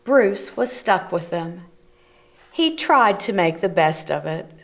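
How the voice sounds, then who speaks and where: Slow, low-voiced woman reading to children. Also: expressive. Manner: gentle, explains pictures to children. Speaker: children's book reader. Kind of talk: read-aloud storytelling for children. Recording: community TV recording.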